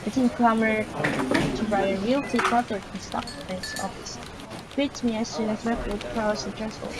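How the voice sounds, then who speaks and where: A woman's voice: fairly high pitch, fairly even intonation, moderate pace, a loud crowd, poor audio. A woman gamer reading visual novel narration aloud, stumbling now and then and adding asides. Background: loud piano music from the game.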